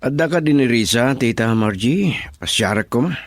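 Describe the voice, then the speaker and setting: A man's voice: mid-range voice, expressive, moderate pace, no echo. A man acting a lovesick, jealous young suitor in an Ilocano radio drama. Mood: polite.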